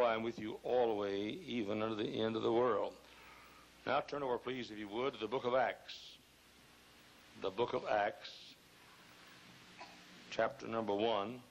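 An older man preaches from a pulpit microphone in a large church, his lowish voice hoarse and rough with gravel. He hammers his points, repeats phrases and swells into loud bursts. A faint hiss from an old video recording sits under him. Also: steady tone, a little slow, pauses often, rough recording. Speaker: pastor. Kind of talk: fiery sermon. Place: large church auditorium, choir behind.

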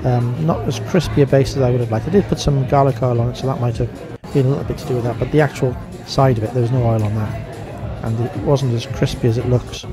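A man's voice: medium pitch, steady tone, quick pace, few pauses, over music, crowd noise. A man giving a casual voice-over review as a vlogger.

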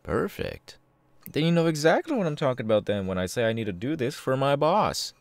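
A man voicing a sadistic, gleeful masked killer in an ASMR roleplay, taunting the listener in a gravelly voice. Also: big pitch swings, no room sound. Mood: chatty, condescending. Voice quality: creaky, gravelly low voice. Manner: slow, taunting, drawn-out with sudden swings. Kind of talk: ASMR villain roleplay, close and menacing.

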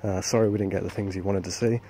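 Low flat-voiced man filming at night. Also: fast talker. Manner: hushed, hesitant, many fillers. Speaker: urban explorer vlogger. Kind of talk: casual vlog narration. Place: outdoors at night on abandoned mill grounds. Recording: faint outdoor background noise.

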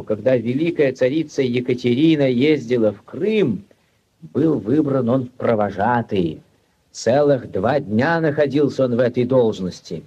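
An actor narrating a literary story for 1940s radio as a wry, measured and expressive storyteller. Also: male voice, mid-range voice, husky. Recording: muffled, noisy 1940s radio recording.

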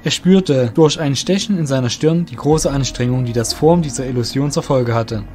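A man's voice: medium pitch, normal speed, darkish tone. A man narrating a scripted fantasy story as the narrator of an audio drama. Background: music.

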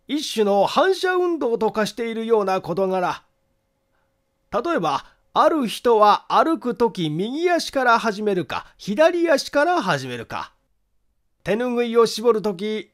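A man voicing a meticulous amateur detective in an audiobook, methodically and formally laying out his reasoning. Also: very high voice. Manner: deliberate, formal, methodical explaining. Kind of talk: acted audiobook monologue.

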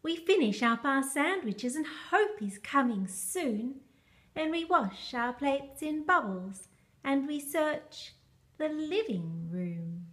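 A woman reading a children's picture book aloud in an expressive, measured storytelling style. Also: a little high.

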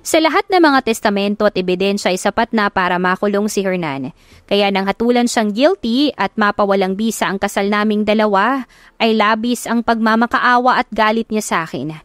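A woman's voice: mid-range voice, no echo. A woman with a Filipino accent reading a scripted first-person story as an audiobook narrator.

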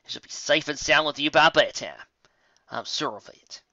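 A man voicing a steady, caring female earth pony, speaking slowly and soothingly. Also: on the high side, no echo, voice pushed up. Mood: reassuring. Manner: slow and soothing, drawn out. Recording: dull lo-fi dry recording.

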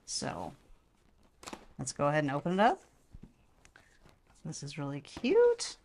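A woman with a low-pitched voice co-hosts a relaxed product review. She talks casually as she unboxes and demonstrates an item. The recording is made indoors in a home. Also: measured pace, slight background noise.

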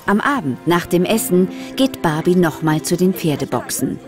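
Lively low-voiced woman storyteller. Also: normal speed. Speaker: narrator. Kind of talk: scripted children's story narration. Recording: music under the voice.

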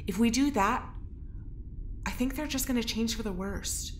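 A woman's voice: a little high, normal speed, slight background noise, natural voice. An actress playing a determined female prisoner, blunt and slangy, in a naturalistic audio drama.

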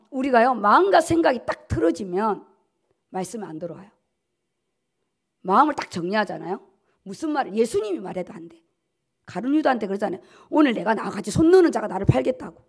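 A woman's voice: medium pitch, creaky. A middle-aged woman pastor preaching a sermon at a pulpit, emphatic and repeating key words for stress. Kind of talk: sermon, preaching at a dawn service. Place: at a pulpit in a church auditorium. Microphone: podium gooseneck microphones.

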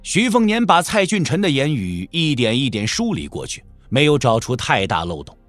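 A man's voice: medium pitch, big pitch swings, normal speed, a bit bright, no echo, faint music behind. A man narrating an audio drama in a dramatic, scripted storytelling style. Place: dry studio.

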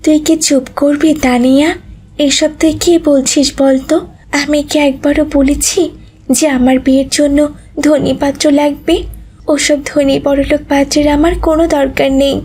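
Woman playing a high-voiced, devout, resolute sister. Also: little pitch movement, moderate pace, warm tone. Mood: firm, earnest. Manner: earnest, moralizing. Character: devout, contented, resolute young elder sister. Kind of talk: cartoon story dubbing.

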